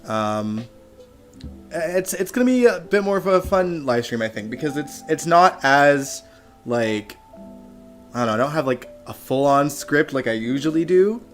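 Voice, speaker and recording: Chatty slightly hoarse man hosting a live stream. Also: a little high, measured pace. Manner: chatty, animated, laughs often. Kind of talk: casual live stream chat. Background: music playing faintly.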